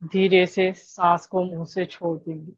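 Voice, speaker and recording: A woman leading a guided meditation, giving gentle instructions with long pauses between phrases. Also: fairly low pitch, flat delivery, no room sound, muffled recording.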